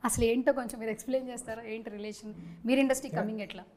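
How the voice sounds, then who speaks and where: Female Telugu Indian host, high voice, rapid delivery, playful and pushy in a casual celebrity interview. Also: fairly even intonation, darkish tone. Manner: teasing, insistent. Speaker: interviewer hosting a film team chat.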